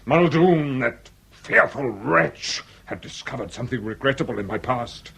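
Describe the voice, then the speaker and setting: A grave, aristocratic lord in his sixties, speaks with a husky edge. His phrasing is formal, ornate and theatrical, in the manner of period radio drama. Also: medium pitch, lively intonation, a little slow, a bit bright, full character voice. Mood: grave, bitter. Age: 60s. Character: grave aristocratic lord past sixty, confessing a dark secret.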